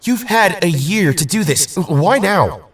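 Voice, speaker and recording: Slow-spoken young male hero, played by a man. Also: sits high, animated, a bit bright, distant mic, heavily acted. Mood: weary, dismissive. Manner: terse, unimpressed. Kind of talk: audio drama acting.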